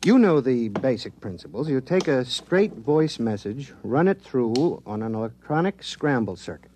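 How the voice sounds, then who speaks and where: A man's voice: medium pitch, big pitch swings, normal speed, a little mellow, a little hiss or hum, close to an everyday voice. An actor playing an earnest, modest male government scientist who explains technical matters hesitantly, in 1950s radio drama style. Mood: matter-of-fact.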